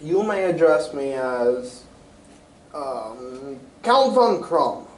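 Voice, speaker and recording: In a distant, echoey stage recording, a man plays a proud, secretive king. His delivery is formal and halting, somewhat high for a man, in radio-play style. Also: very animated, full character voice. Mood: guarded, formal. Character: proud, secretive male Bohemian king in disguise. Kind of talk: stage reading of a golden-age radio drama.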